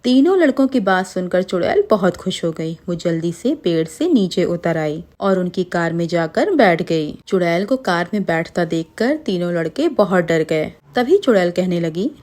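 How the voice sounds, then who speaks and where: A woman's voice: lowish voice, animated, normal speed, hardly any pauses, a little mellow. A woman narrating a scripted cartoon story as its storyteller.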